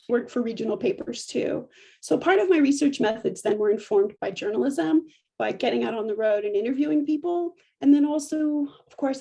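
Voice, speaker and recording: A middle-aged woman professor giving a measured lecture, her voice a little affected by allergies, with frequent small restarts. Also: on the high side, creaky, mellow, slightly rough, low-quality recording.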